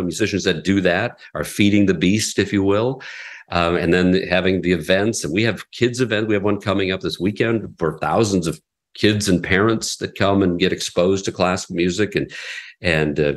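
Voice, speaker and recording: A middle-aged man who runs a classical radio station, answering interview questions earnestly with frequent restarts. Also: low voice.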